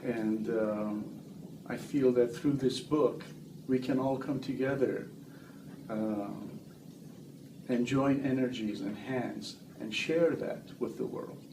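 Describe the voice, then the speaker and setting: A man, a guest author, speaking in a slow, measured, meditative way in a casual interview. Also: medium pitch, fairly even intonation. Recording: roomy, distant mic with noise.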